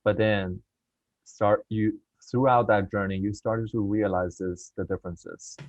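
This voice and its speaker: A man's voice: fairly low pitch, steady tone, measured pace, highs cut off. A man from China, a film director answering interview questions over a video call, earnest and thoughtful, with many fillers and restarts. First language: Mandarin. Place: at home in Beijing, remote call.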